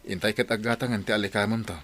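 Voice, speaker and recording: A man's voice: lowish voice, steady tone, fast, a bit bright. Man acting a devoted village father, background music. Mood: tender, concerned. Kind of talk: Ilocano radio drama acting. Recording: music under the voice.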